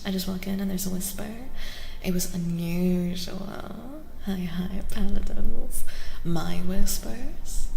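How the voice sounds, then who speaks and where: A woman hosting an ASMR tarot livestream, speaking softly and gently, often dropping into a breathy whisper and repeating phrases soothingly. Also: mid-range voice. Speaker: livestream host, tarot reader. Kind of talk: soft-spoken ASMR livestream chat with tarot reading. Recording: reverberant echo with music underneath. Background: music.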